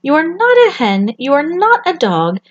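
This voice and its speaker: A woman voicing a small lost baby bird who earnestly searches for his mother, in a high childlike voice. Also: wildly swooping pitch, moderate pace, lo-fi sound. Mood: content.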